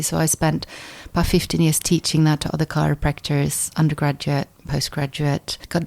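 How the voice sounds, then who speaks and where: Low, gravelly-voiced woman guest. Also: a little mellow. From Sweden. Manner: thoughtful, with frequent restarts and repeated words. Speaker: guest chiropractor. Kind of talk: conversational interview.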